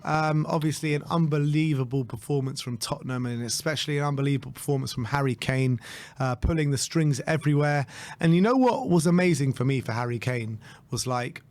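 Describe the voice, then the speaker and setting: A man with a hoarse voice, leading a casual football chat as co-host with enthusiasm. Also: medium pitch, brisk, darkish tone. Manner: enthusiastic, leads the segments.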